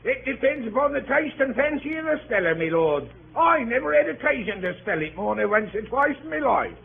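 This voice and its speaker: An actor playing a cheeky, unflappable Cockney manservant in a 1930s radio drama, droll, wordy and mock-respectful. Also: male voice, very high voice, sing-song, fairly quick, vocal fry, heavily acted. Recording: tinny, noisy 1930s radio broadcast.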